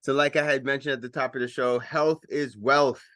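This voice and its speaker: A man's voice: mid-range voice, fairly even intonation, normal speed, pushed and bright, no room sound. A male radio host in easygoing conversation, circling back and restarting his sentences as he goes. His voice sits in a middle register and sounds close and dry, with no room around it. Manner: rambling, many restarts and fillers. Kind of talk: casual radio interview.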